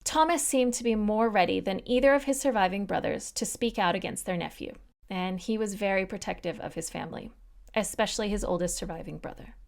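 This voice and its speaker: A woman host narrates history from a script at a middle pitch, delivering it evenly. She slips in wry, dry asides to the listener as she goes. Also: a little fast, vocal fry, a little mellow. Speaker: podcast host.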